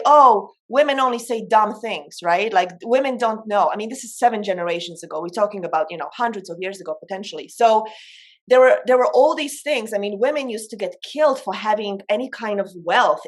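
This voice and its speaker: A woman's voice: medium pitch, brisk. A woman from Poland, speaking as a coach in an informal, emphatic motivational talk on self-development.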